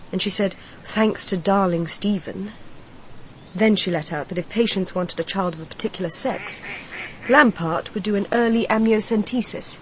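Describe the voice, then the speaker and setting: An actress playing a grieving mistress confiding in a detective in a radio crime drama, speaking in a measured, confessional way. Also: female voice, medium pitch, slight background noise, natural voice, poor audio.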